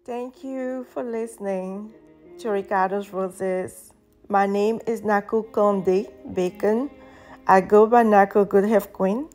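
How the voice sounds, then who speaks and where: A woman with a French accent, a native French speaker, reading her own poetry in a gentle, reflective way. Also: medium pitch, steady tone, slow, soft background music. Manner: gentle, reflective, soft-spoken.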